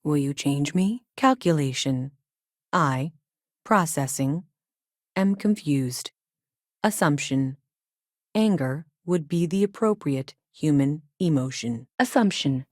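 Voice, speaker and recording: A woman voicing a calm, literal, childlike female artificial intelligence in a video game, speaking in stilted, deliberate, clipped statements with an emotionless delivery. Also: low voice, no echo, studio quality, exaggerated voice. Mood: detached, uncertain. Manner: stilted, deliberate, prefacing statements with one-word labels.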